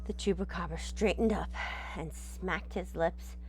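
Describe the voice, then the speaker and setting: A woman's voice: lowish voice, steady tone, measured pace, darkish tone, slight background noise, poor audio. A woman reading a picture book aloud expressively, with explanatory asides, checking in with her listeners as she goes.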